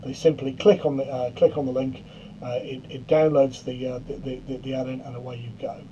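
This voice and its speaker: A middle-aged man giving an informal software demonstration in a halting way, often stammering and repeating words. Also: medium pitch, flat delivery, muffled recording. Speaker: presenter of a software tutorial.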